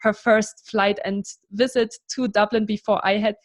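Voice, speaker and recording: A German woman in her thirties talks through her career as an interview guest. Her English flows in a relaxed, chatty way, padded with "kind of" and "you know". Her voice sits at an ordinary middle pitch. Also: normal speed. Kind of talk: casual interview conversation.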